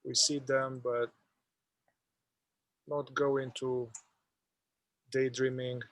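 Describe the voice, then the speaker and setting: A man leading a guided meditation, speaking in an unhurried, halting way with long pauses. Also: medium pitch, flat delivery, a bit bright, no echo.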